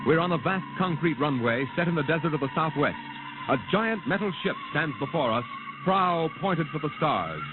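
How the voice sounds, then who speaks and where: A man narrating a 1950s radio drama in a dramatic, measured announcer style. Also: fairly high pitch, expressive. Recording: lo-fi old radio broadcast over loud music.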